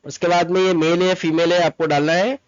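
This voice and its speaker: Dull-sounding, higher-pitched man explaining steps. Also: flat delivery, a little fast, no echo. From Mumbai, India. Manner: instructional, repeats steps, code-mixes English terms. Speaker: tutorial presenter, travel agent. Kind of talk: informal step-by-step screen tutorial. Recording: dull lo-fi close dry recording. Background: voice-over to screen recording.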